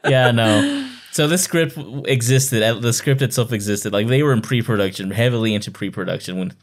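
A man speaks as a podcast co-host in a relaxed book discussion. His voice is mid-pitched, and his talk is informal and emphatic, often restating a point to drive it home. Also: fairly quick. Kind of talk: casual book discussion chat.

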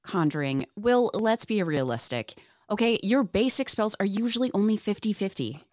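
A woman's voice: medium pitch, expressive, normal speed, a little mellow, lightly acted. A woman playing a stubborn young monster slayer in a podcast script read, firm and matter-of-fact. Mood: exasperated.

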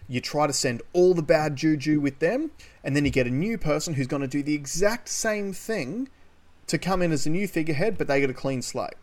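A man's voice: on the high side, darkish tone. Sardonic Australian man chatting. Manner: sardonic, swears casually. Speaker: podcast co-host. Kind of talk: casual opinionated chat about news.

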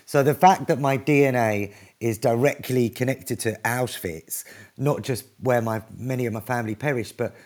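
Earnest man, rapid restarts. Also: medium pitch, darkish tone. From North East London, England. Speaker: author and activist, guest. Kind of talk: conversational book-event answer.

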